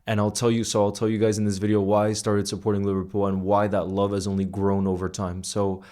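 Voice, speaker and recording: A man's voice: lowish voice, monotone, quick pace, darkish tone. A young man telling his personal story to camera in a casual, earnest way, often restarting phrases and adding "you know". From Iceland and the United States. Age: young adult. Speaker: football content creator.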